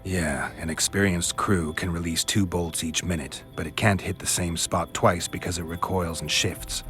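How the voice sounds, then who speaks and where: A man voicing a stoic monster hunter with a dry, deadpan way of talking in a video game. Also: low voice, normal speed, soft background music. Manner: terse, deadpan.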